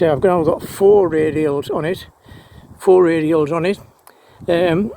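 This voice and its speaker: A man with a fairly high-pitched voice talks casually as a hobbyist presenter. He explains things off the cuff in a halting, rambling way, circling back and repeating phrases as he goes. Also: dark tone, poor audio. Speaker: amateur radio hobbyist presenter. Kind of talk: casual explanatory vlog, unscripted. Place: outdoors by trees and a fence.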